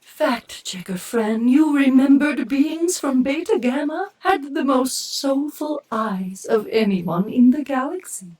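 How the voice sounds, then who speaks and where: Mournful female alien: very high, slow, pleading, slightly distant over music. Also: lively intonation, darkish tone. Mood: plaintive, pleading. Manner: plaintive, pleading. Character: sorrowful female alien, lone survivor of destroyed planet.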